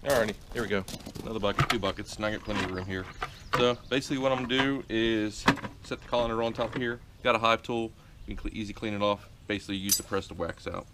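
A man's voice: lowish voice, steady tone, fast talker, heavy background noise. A middle-aged man giving a casual outdoor how-to as a beekeeper, rambling with many fillers and restarts, recorded on a camera mic in a farmyard.

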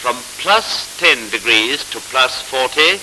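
Bright, forceful 1940s training film narrator, man. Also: medium pitch, expressive. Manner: formal, measured, explanatory. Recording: scratchy hissy 1940s film soundtrack.

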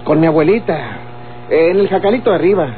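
A man's voice: high voice, quick pace, lightly acted. Man playing a lying young Mexican bandit, tinny old radio. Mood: feigned innocence. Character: young male bandit and murderer, wheedling, lying, hot-tempered. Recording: muffled old radio recording with mains hum.

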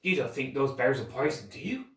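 An earnest, bookish young boy in a storybook, read by a man. He sounds thoughtful and worried, choosing words hesitantly, with lively pitch in a roomy space. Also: normal speed, distant mic.

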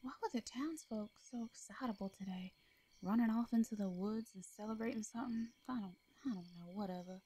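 A woman playing a caring, protective young female farmer in a roleplay audio drama, with a Southern US accent and a soft, hesitant, folksy way of talking. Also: mid-range voice, brisk, slightly rough, close to an everyday voice. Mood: subdued, hesitant.

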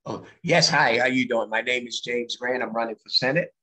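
A man's voice: mid-range voice, normal speed, bright, forceful tone, a little hiss or hum. A man from Barbados who is running for office introduces himself plainly and sincerely on a video call. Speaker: political candidate.

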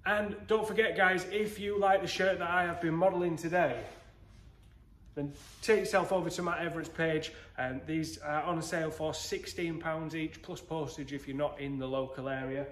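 A man's voice: fairly high pitch, normal speed. Chatty man in an echoey workshop. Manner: chatty, relaxed, addresses viewers as guys. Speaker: woodworking channel host. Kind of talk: casual workshop tutorial. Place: indoor woodworking workshop. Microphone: handheld phone camera, moving. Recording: echoey workshop with faint noise.

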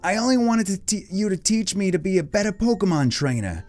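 A performer acts out an eager young boy from a cartoon adventure. He is earnest and quick to apologize, with a very lively, swinging pitch. Faint music plays behind the voice. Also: lightly acted. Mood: excited, earnest.

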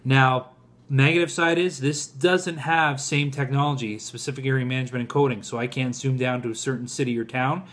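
A man in his forties with a mid-pitched voice gives a casual product review. He talks informally and directly to his viewers, as if to a group of guys. He is indoors at home, speaking toward a camera close in front of him. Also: fairly even intonation, a little fast, a bit bright.

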